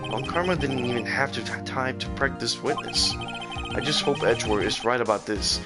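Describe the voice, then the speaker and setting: A man's voice: mid-range voice, moderate pace, over loud music, natural voice. A man voicing an earnest young male defence lawyer in a game dub, subdued, hesitant and worried.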